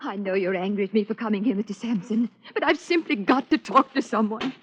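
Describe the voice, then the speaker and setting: A woman's voice: mid-range voice, brisk, a little hiss or hum, lightly acted. An actress playing a bitter, defiant, accusing woman with an emotional, sarcastic manner in an old-time radio melodrama. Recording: muffled old radio recording.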